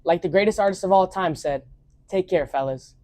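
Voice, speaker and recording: A woman's voice: on the low side, brisk, mellow. A young woman co-anchoring a student news show, reading a friendly sign-off.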